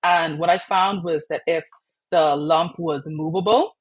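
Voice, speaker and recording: Young woman, kinda husky breathy voice, chatty, lots of "like" and "you know". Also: low voice, steady tone, measured pace. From Bahamas. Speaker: patient sharing her story. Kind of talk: candid personal storytelling.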